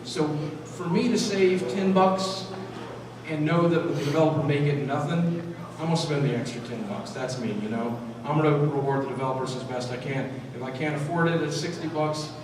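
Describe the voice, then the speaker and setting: A man's voice: medium pitch, far from the mic. Man in an echoey convention hall. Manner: energetic, talks straight to the crowd with quick restarts. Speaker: panel guest speaker. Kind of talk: convention panel talk to a live audience. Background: hall ambience noise.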